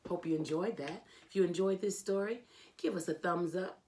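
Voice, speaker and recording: A woman reading a children's storybook aloud as host, with theatrical, emphatic delivery and drawn-out phrases. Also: fairly low pitch, darkish tone, a little hiss or hum.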